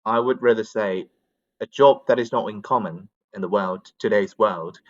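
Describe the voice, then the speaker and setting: A man with a slightly low voice, heard through somewhat dull call audio. He gives his opinions in casual conversation, wordy and feeling his way toward the right words. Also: moderate pace. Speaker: English conversation practice participant. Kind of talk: casual chat, explaining opinions.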